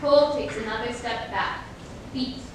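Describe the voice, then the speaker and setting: High-voiced young woman narrating, very echoey. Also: moderate pace. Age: young adult. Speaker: stage narrator. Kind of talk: dramatic scripted narration. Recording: echoey room, distant mic, noisy rumble.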